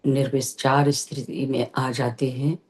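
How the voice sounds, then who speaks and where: A meditation teacher leading a guided session, giving gentle instructions with long pauses between phrases. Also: medium pitch, level tone.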